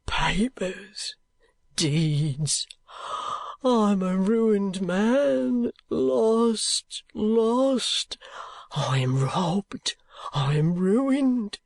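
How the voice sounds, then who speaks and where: A man's voice: high-pitched, expressive, measured pace, no room sound, clean studio sound, pitched above the performer's own voice. A man voicing a frantic old male miser who shrieks and whispers in despair over a theft. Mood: frantic, wailing. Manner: screeching, whispering in panic.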